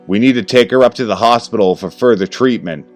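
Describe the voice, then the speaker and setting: Soothing young woman paramedic, acted by a male narrator, raised pitch, helpful and kind, horror story. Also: a little fast, soft background music, lightly acted.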